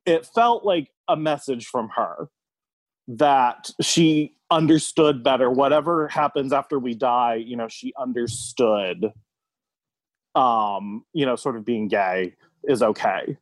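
A man's voice: mid-range voice, slow, dry recording. A man from the United States, a podcast guest, telling a personal story in a casual, thoughtful, somewhat hesitant conversational way.

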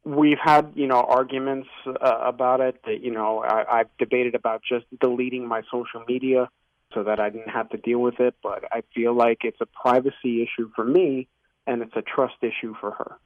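A man's voice: medium pitch, fairly even intonation, normal speed, no room sound. Male caller on a tinny phone line. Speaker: caller seeking relationship advice. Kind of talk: casual phone-in conversation. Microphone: telephone.

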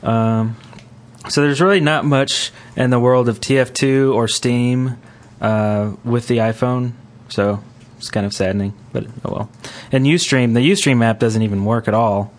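A man with a medium-pitched voice hosts a gaming podcast. His delivery is informal and conversational, as if chatting with his co-hosts. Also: steady tone, unhurried. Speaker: podcast host. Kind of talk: casual gaming podcast chat.

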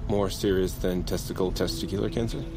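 A man's voice: sits low, fairly even intonation, a little fast, vocal fry, music in the background. An actor playing a goofy, clueless American man of thirty, flippant and blithely dim in comedic audio drama dialogue. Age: 30s. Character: goofy, clueless thirty-year-old slacker best friend.

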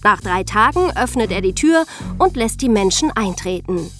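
A woman's voice: mid-range voice, lively intonation, normal speed, a bit bright, soft background music. A woman hosting a podcast, telling and explaining scripted stories in a chatty way for young listeners. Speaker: podcast host and narrator.

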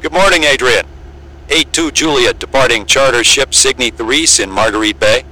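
A man's voice: mid-range voice, moderate pace, sharp tone, low-quality recording. An actor playing a breezy, matter-of-fact, seasoned male Antarctic pilot in an audio drama. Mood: businesslike. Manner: breezy, matter-of-fact, wry, salty-tongued. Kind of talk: naturalistic audio drama acting with aviation radio jargon.

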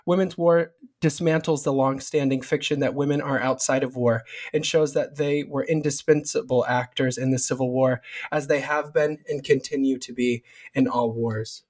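A man hosts a book podcast in a mid-pitched voice. He reads a long, formal introduction from a script and then asks measured interview questions. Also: steady tone, darkish tone. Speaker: podcast host.

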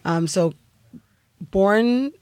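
A woman's voice: mid-range voice, extremely slow, a little mellow, clean tone, dry recording. A middle-aged woman from Canada, a singer telling her story in an interview, chatty with many fillers and laughs. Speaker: singer guest.

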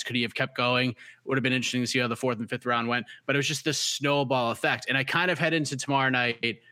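A man's voice: mid-range voice, sharp tone, dry recording. A man with a light Boston accent, an MMA analyst giving emphatic casual fight picks and repeating his key points. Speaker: MMA analyst on a preview show.

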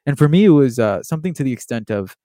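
Mellow, fast-talking young man from Miami. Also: medium pitch, expressive, no echo, clean studio sound. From Miami, Florida, USA. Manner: quick, earnest, with frequent fillers. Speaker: podcast host and baseball writer. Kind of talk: casual monologue.

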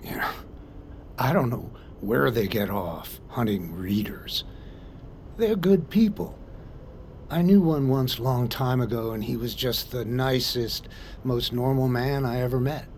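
Old-fashioned truck driver, older, gravelly, unhurried, folksy, protective, vehicle noise behind. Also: male voice, medium pitch, lively intonation, darkish tone. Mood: wistful, wary. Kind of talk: audio drama dialogue. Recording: noisy vehicle cab ambience.

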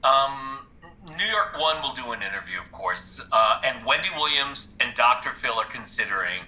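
An actor playing a boastful publicist rattling off press bookings in a comedy. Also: male voice, mid-range voice, expressive, pushed and bright, lo-fi sound. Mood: hedging. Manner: salesy, rapid name-dropping. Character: hustling, boastful male show publicist.

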